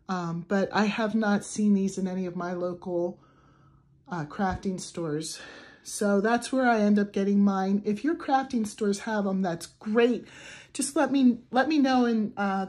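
A young adult woman with a raspy voice from the flu, casually talking through a crochet tutorial at a table, chatty with fillers and restarts. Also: medium pitch, darkish tone. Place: indoors at a wooden table. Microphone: camera over a tabletop, hands only.

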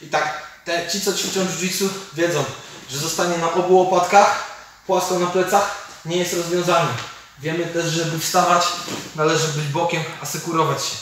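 A man's voice: a little high, fairly even intonation, normal speed, a bit bright. A man, explaining martial arts techniques informally as an instructor while demonstrating them. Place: large indoor MMA cage gym. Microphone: camera several metres away. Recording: echoey gym, distant mic, some noise.

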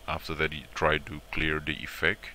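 Very deep-voiced man giving a software tutorial. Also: monotone, moderate pace, slight background noise. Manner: repetitive, instructional, often checking with "all right" and "okay". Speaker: tutorial presenter. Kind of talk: informal screen-recorded software tutorial.

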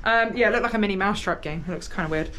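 A young British woman hosting an exploring vlog inside an abandoned house, chatting excitedly and exclaiming often. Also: mid-range voice, racing. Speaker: urban explorer host. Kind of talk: casual exploring vlog chat.

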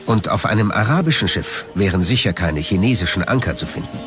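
A male documentary narrator speaks in a fairly low voice with very varied intonation. He reads a prepared script, and music plays behind him. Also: lively intonation, fairly quick.

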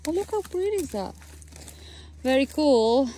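A woman's voice: high voice, expressive, measured pace, dark tone, a little hiss or hum. A woman co-hosting an outdoor walking tour of a tree farm, chatty and enthusiastic, with loose, non-standard phrasing. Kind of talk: casual walking tour vlog.

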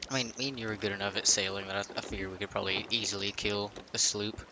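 A man's voice: fairly low pitch, fairly even intonation, fast talker. A man in casual gaming chat with a friend, joking and swearing freely. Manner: profane, joking. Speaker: gamer playing with a friend. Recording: background game noise of sea and boat.

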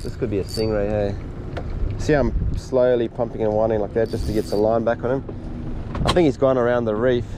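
Laid-back Australian fishing guide on a boat. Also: male voice, lowish voice, steady tone, brisk. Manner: encouraging, instructive, laid-back. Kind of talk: casual on-water coaching. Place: small boat on open water outdoors. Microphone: action camera on the boat. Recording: boat and wind noise outdoors.

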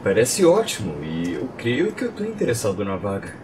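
A man's voice: fairly low pitch, huge pitch swings, normal speed, over music. A man with a Brazilian accent playing an earnest, uneasy father looking for guard work in a fan-dubbed film. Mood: nervous, hopeful. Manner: hesitant, earnest. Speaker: voice actor in a fan dub. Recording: clear ambient noise behind.

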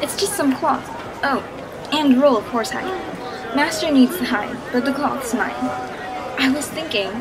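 High-voiced protective big sister, acted by a woman. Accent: Filipino. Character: bossy, protective teenage big sister, magician's apprentice. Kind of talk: fantasy audio drama acting. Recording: noisy with street crowd ambience.

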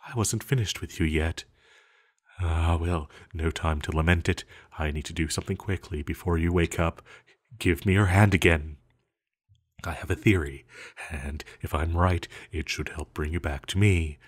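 A man playing an ancient banished villain in an intimate roleplay audio, seductive, possessive and scheming, with teasing, lingering pauses. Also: low voice, steady tone, breathy edge. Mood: urgent, possessive.

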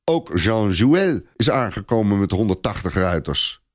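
A man's voice: fairly low pitch, big pitch swings, measured pace. A man voicing a proud, reckless nobleman knight in a storybook reading, boastful and confident. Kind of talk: audiobook character dialogue.

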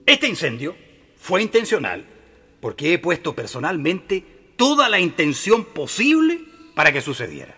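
A man's voice: a little high, wildly swooping pitch, a little slow, pushed and bright, breathy edge, exaggerated voice. An actor playing a naive, earnest male shopkeeper in a radio drama, candid and flustered, repeating himself politely. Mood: earnest, insistent. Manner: flustered, repetitive, pleading politeness. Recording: music behind the voice.

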